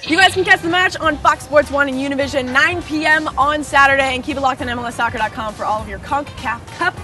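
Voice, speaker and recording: A woman's voice: high-pitched, quick pace, sharp tone, roomy sound, faint music behind. A woman from Canada hosting a casual, playful interview in a karaoke bar, teasing and laughing at herself. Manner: playful, teasing, self-mocking. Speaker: host.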